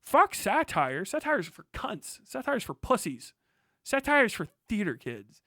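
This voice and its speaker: High, bright-voiced American man ranting. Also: big pitch swings, unhurried, no echo, clean studio sound. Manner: forceful, emphatic, sweary, acts out imagined dialogue. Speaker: streamer. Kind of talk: impassioned, profane livestream rant.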